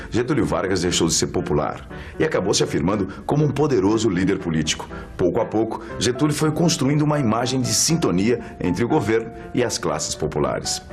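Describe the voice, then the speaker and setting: Low-voiced Brazilian male narrator, educational script, light music bed.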